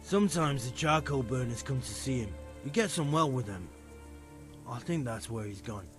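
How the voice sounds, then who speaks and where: A man's voice: mid-range voice, expressive, moderate pace, faint music behind, lightly acted. A man playing a hesitant, earnest medieval stablehand in video game dialogue, folksy and loyal. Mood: confiding, helpful. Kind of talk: conversational video game acting.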